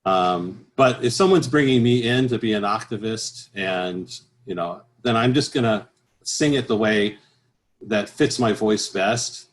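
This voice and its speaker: A man speaking as an interview guest, explaining things conversationally as a choral professional, with halting, repeated phrases. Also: on the low side. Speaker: interview guest, professional bass singer and choir director.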